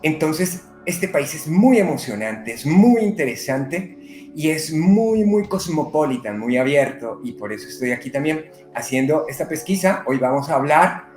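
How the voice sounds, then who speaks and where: A man from Colombia, a medium and psychotherapist, speaking unhurriedly with many pauses in an interview. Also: on the high side, very animated, a little mellow, roomy sound, faint music behind. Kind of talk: conversational interview. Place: living in Brazil.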